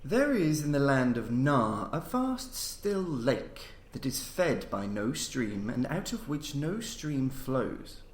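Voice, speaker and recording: A man reading a scripted horror story as a podcast host, in a formal, measured storytelling delivery. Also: mid-range voice, big pitch swings. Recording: roomy, echoing voice recording.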